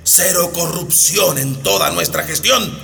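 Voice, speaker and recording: Shady demagogue in radio drama: oily, blustering, piercingly sharp, drawn-out stump speech. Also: male voice, a little high, creaky, faint music behind, heavily acted. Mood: boastful, oily. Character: brazen corrupt male candidate, shady blustering demagogue.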